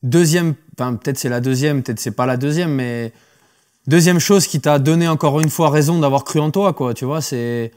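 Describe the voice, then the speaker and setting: A man's voice: a little high, normal speed, a little mellow, smooth. A man from France hosting a podcast, chatting casually and encouraging his guest. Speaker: podcast host. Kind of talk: casual interview chat.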